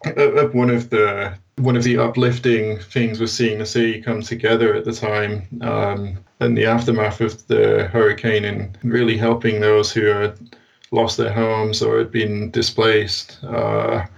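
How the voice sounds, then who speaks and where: A British man answering as an interview guest in a hesitant, measured conversational way. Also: lowish voice, little pitch movement, creaky, far from the mic, dull audio. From United Kingdom. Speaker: guest, science blogger.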